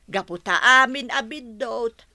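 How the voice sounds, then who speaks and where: A woman's voice: on the high side, unhurried, sharp tone, no room sound, full character voice. A woman playing an earnest, protective older mother in an audio drama, speaking emphatically and dramatically. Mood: pleading. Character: older mother, protective and pleading for her daughter.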